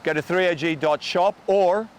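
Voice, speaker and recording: Man, slightly higher voice, brisk salesy promo read. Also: slight background noise. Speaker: announcer of show ads.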